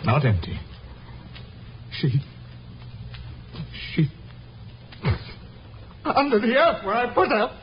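Haunted mentalist with a broken memory: high, halting, slow delivery, noisy old radio sound. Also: male voice, huge pitch swings, highs cut off, exaggerated voice. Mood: haunted, tormented. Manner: halting, repetitive, melodramatic. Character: anguished, guilt-haunted stage mentalist with shattered memory. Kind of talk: 1950s radio drama acting.

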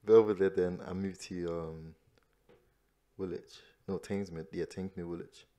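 A man's voice: low voice, fairly even intonation, unhurried, mellow. A young man, born in Nigeria and raised in America and Nigeria, co-hosting a casual podcast chat. He stammers, repeats words and laughs often. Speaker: podcast co-host.

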